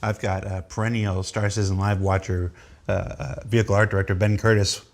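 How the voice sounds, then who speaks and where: A man's voice: on the low side, flat delivery, fairly quick, vocal fry. A man hosting a casual talk show, reading viewer questions and rambling into wry, self-deprecating stories. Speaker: host of a developer panel show.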